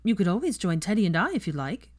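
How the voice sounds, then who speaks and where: A woman's voice: mid-range voice, very animated, quick pace, no echo. A woman voicing a knowing, wry grandmother in a story reading, matter-of-fact in manner. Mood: inviting. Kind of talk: fan fiction audiobook character voice.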